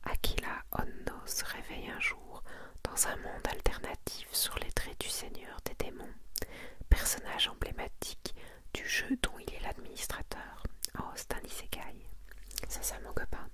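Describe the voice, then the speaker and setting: A woman whispering in a breathy, intimate ASMR style, casually presenting her collection to one listener. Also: moderate pace. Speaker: ASMR video creator presenting a manga collection.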